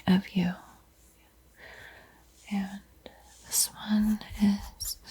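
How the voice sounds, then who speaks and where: Woman doing a soft vampire lady art teacher, asmr tutorial roleplay, breathy whisper, soothing, really unhurried. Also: medium pitch, full character voice, rough recording. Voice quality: breathy, mostly unvoiced whisper. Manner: soothing, unhurried, lingering repetition of words. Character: female vampire art teacher, gentle, playfully eerie. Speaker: ASMR painting instructor.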